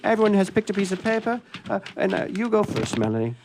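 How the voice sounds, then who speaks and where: A man hosting a radio theatre show informally, joking and rambling in a halting way. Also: sits high, big pitch swings, background noise. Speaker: host.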